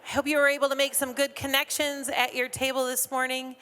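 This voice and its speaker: A woman's voice: high-pitched, little pitch movement, fairly quick, pushed and bright. Upbeat woman emceeing a chamber breakfast. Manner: upbeat and chatty, with frequent fillers. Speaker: chamber of commerce event host. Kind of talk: emcee announcements at a morning business event. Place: event hall with a live audience.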